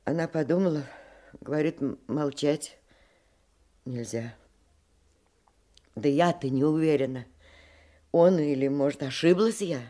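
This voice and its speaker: A woman's voice: low-pitched, mellow. An actress playing an anxious, hesitant middle-aged provincial woman in a naturalistic radio play. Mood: troubled, halting. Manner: hesitant, halting. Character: anxious, grieving provincial woman with a grown son.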